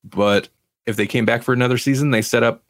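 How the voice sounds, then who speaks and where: A man's voice: medium pitch, steady tone, fast, no room sound, studio quality. A man co-hosting a TV review podcast, chatting casually in a rambling, opinionated way with frequent asides. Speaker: podcast co-host reviewing TV series. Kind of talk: casual chat.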